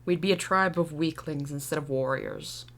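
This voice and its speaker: A woman's voice: low-pitched, normal speed, a bit bright, voice pushed down. A woman playing a stern warrior mother who rules her tribe, commanding and scornful. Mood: cold, commanding.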